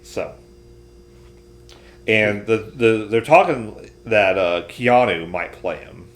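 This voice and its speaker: A man's voice: fairly low pitch, slow pace. A man talking casually as a podcast co-host, chatting about entertainment. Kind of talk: casual chat about games and shows. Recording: roomy, echoey room.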